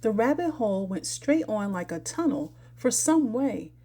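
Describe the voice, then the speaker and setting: A woman narrating a classic children's story aloud in an expressive storyteller's style. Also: on the high side, normal speed.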